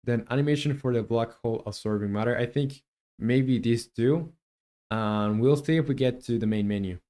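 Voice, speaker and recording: Man, mid-pitched voice, indie developer streaming his coding, thinking aloud, casual. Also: moderate pace, warm tone. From Chile. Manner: muses aloud while coding, chats with viewers.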